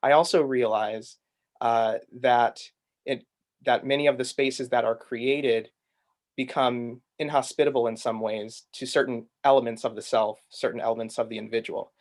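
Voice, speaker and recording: A man answering a question in an online panel, talking in a measured, searching way and often repeating words as he thinks. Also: mid-range voice, steady tone. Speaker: poet reading panelist. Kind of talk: thoughtful spoken answer in a panel Q&A.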